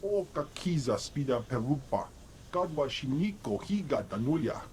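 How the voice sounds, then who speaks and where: A man's voice: on the high side, animated, moderate pace, darkish tone, a little hiss or hum, close to an everyday voice. A man acting a brusque alien who speaks an invented tongue in a video game.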